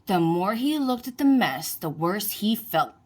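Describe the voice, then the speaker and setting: A woman narrates a picture book for young listeners. Her pace is slow and deliberate, and her pitch moves in a lively, expressive way. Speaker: children's book reader. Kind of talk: read-aloud storybook narration for children.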